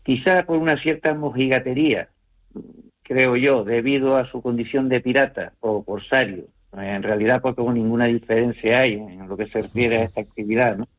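Measured novelist on muffled radio. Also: male voice, mid-range voice, fairly even intonation. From Canary Islands. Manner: measured, thoughtful, frequent hesitations and tag questions. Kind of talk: radio interview answers.

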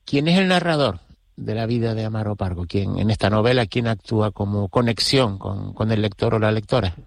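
A man's voice: on the low side, a little fast, creaky, no room sound, studio quality. A man interviewing an author on radio, asking short, courteous questions.

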